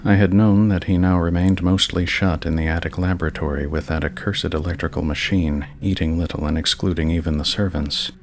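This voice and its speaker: A man with a very low voice and a heavy creak reads scripted narration. His delivery is measured, solemn and slightly dramatic, like a horror storyteller, over light background noise. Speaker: narrator, channel host.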